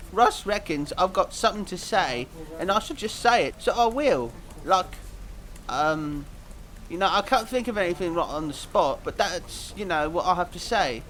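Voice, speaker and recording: A man's voice: a little high, a bit bright. A dim but earnest cartoon pop star, acted by a man, speaks. He rambles naively through interview answers, drifting vaguely from thought to thought. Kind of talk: mock interview fan dub.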